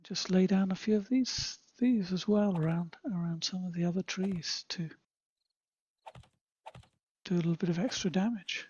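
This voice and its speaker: A man voicing a confident jungle man who thinks of himself as British, with a British accent and a husky voice, talking in a chatty, understated way and muttering asides as he plays a game. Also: high voice, steady tone, moderate pace, pauses often, a little mellow, lo-fi sound. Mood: pleased. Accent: British English. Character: confident jungle man Tarzan turned proper British gent. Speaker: gameplay commentator in character. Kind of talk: in-character gameplay narration.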